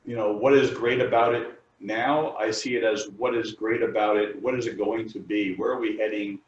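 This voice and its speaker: A middle-aged man from the New York area talks through an interview in halting bursts, circling back with fillers and restarts. His voice sits on the low side. The recording is dull and roomy, caught from a distance. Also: steady tone. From New York area, United States. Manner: hesitant, many fillers and restarts. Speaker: architect, firm co-founder. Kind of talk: interview answers.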